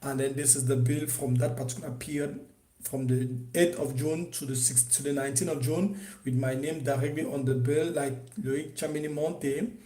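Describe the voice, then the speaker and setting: A man from Cameroon teaching an online business course, talking in an animated way with many restarts and fillers. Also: on the high side, normal speed, echoey room. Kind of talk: unscripted course presentation.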